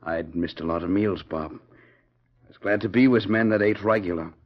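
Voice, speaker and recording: A low, husky old cattleman fallen on hard times in a 1940s radio western. He talks plainly and ruefully in a rural Western American accent, with weary resignation. The recording is dull and muffled. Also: moderate pace. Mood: resigned, weary. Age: older. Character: weary older down-on-his-luck cattleman turned outlaw cook, resigned.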